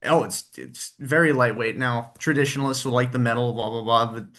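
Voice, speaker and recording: A man with an ordinary, mid-pitched voice talks casually in an interview. He is friendly and a little rambling, and he laughs easily as he explains things. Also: fairly even intonation, a little fast, a bit bright. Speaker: guest, tribute performer and engineer.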